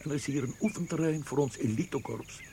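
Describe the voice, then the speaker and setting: A man's voice: on the high side, fast, mellow, slight background noise, natural voice. An actor playing a genial, knowing, well-connected military man in a Dutch radio play. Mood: informative.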